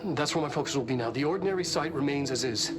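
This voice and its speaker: An actor playing an earnest, distracted middle-aged scientist giving a halting, stammering recorded briefing in a video game. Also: male voice, on the high side, over music, lightly acted. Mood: distracted, decisive.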